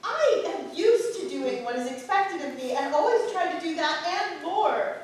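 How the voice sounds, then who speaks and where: An actress playing a polite, dutiful townswife of early modern England in a staged play reading. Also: female voice, sits high, animated, measured pace. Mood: earnest. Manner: polite, deferential. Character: Bridget, a deferential, dutiful, hard-pressed early modern townswife. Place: playhouse stage. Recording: echoey theatre from far off, faint noise.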